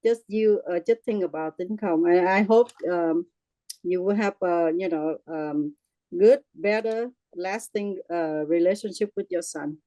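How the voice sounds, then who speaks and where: A middle-aged woman with a Vietnamese accent speaks in a mellow, darker tone, close and dry with little room sound. She talks haltingly, stacking fillers and restarts, and breaks easily into laughter. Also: on the low side, dry recording, rough recording. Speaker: group member sharing her experience. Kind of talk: casual personal sharing.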